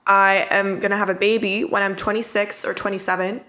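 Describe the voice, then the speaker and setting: A woman's voice: medium pitch, a little fast. Mid-twenties woman co-host, frank and chatty, tells stories with quoted thoughts. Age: mid-20s. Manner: candid, animated storytelling with quoted inner thoughts. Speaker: podcast co-host. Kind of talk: casual advice chat.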